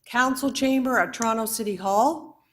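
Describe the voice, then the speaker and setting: Hoarse woman chairing a meeting. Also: on the high side. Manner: measured, procedural, with fillers. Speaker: board chair. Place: council chamber.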